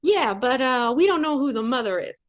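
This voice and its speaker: A woman's voice: high-pitched, lively intonation, normal speed, muffled recording. A woman playing an easygoing, teasing male babysitter in a comedic radio play. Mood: playful. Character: easygoing, joking man babysitting a baby.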